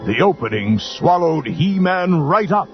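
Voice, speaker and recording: Animated, gravelly male storybook narrator. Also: on the high side, a little slow, muffled recording. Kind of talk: dramatic scripted storybook narration. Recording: band-limited old readalong tape with music.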